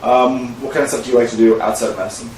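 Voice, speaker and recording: A man conducts an interview in a conversational style. His voice sits at a medium pitch. He is recorded at some distance in a roomy space with faint noise behind him. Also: steady tone, very fast, darkish tone.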